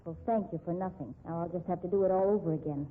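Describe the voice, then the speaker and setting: An actress playing strong-willed women of a detective story in an emphatic 1950s radio drama style, with a husky voice on an old muffled recording. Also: female voice, on the low side, fast talker, close to an everyday voice. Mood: bitter, defiant. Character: women in a detective story: cajoling girlfriend, bitter rescued patient.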